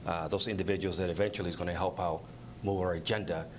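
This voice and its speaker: A man's voice: low voice, fairly even intonation, quick pace, noisy background. A man born in the Dominican Republic, a panel guest, speaking plainly and earnestly. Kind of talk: talk show interview.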